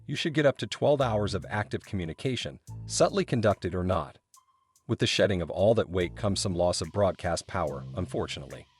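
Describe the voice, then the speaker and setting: A man with a fairly low, lively voice delivers scripted product review narration. His delivery is polished and promotional, rising and falling noticeably, over background music. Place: voice-over recording.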